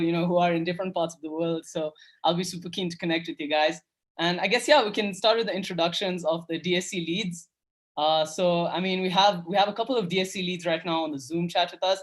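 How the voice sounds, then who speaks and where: A man's voice: sits high, a bit bright. Upbeat young man hosting a video-call workshop. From Kenya. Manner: upbeat, filler-heavy, repeats "awesome". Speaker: workshop host. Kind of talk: casual online workshop talk.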